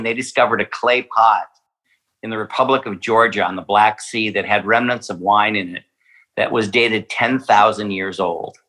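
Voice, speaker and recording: A man's voice: on the low side, pushed and bright, low-quality recording. A man from a winery speaking in a podcast interview, hesitant, with many fillers and restarts. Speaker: winery representative.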